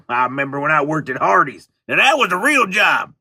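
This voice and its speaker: A man's voice: a little high, very animated, normal speed, pushed and bright, breathy edge, exaggerated voice. A man voicing a boorish, dim-witted country coach with a Southern US accent and a rambling, blustering, folksy manner, in a comic sketch. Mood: grumbling, dismissive.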